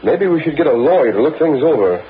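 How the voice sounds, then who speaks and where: An actor playing a cynical, streetwise songwriter in a crooked racket, breezy and slangy, in an old-time radio drama. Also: male voice, fairly high pitch, big pitch swings, fast talker. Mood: businesslike, wary. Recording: tinny old radio broadcast, faint noise.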